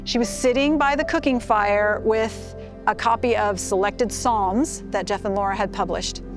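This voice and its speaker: A woman's voice: mid-range voice, steady tone, moderate pace, over music. A middle-aged woman sharing a personal recollection. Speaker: former literacy project worker.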